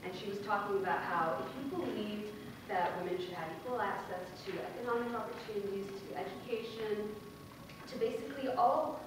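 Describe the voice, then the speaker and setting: Young female speaker, conversational and earnest, recorded far off in a large hall with steady background noise. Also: medium pitch, brisk. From Bangladesh. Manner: earnest and conversational, with laughs and fillers. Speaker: workshop speaker. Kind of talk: conference talk. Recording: very echoey hall, mic far off.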